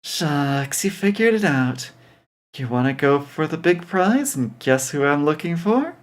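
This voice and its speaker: A man's voice: fairly high pitch, very animated, a little slow. A man voicing a confident, sarcastic young woman demon slayer in an audio story, with teasing delivery.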